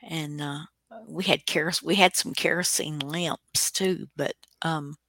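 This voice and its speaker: A woman's voice: low-pitched, steady tone, measured pace, a bit bright, no room sound. A woman hosting a radio show, chatting casually in a rambling, hesitant way. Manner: rambling, hesitant, reminiscing delivery. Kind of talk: casual chat.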